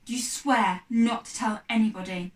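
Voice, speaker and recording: A woman's voice: a little high, steady tone, normal speed, natural voice. A woman reading the part of an earnest, determined, anxious young girl in a storybook. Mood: insistent. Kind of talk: storybook character dialogue. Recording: echoey room, distant mic.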